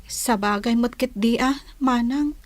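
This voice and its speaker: A woman acting a reluctant young bride-to-be with an Ilocano accent in a Filipino radio comedy drama, plaintive and drawn-out. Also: on the high side, no room sound, lightly acted. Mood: wistful, resigned. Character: young woman being pushed into an unwanted marriage, reluctant.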